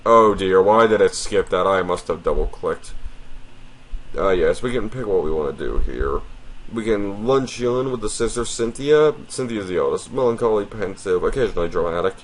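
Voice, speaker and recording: A man with a deep voice hosting a let's play, chatting casually and reading game text aloud in a polite, apologetic way that addresses the viewer formally. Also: animated, moderate pace, low-quality recording.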